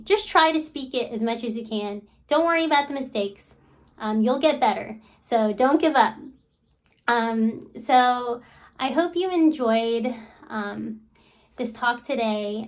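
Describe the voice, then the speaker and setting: Chatty woman with a slightly high voice. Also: unhurried. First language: English. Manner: chatty, frequent ums and you-knows. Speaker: channel host. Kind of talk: casual talk to camera.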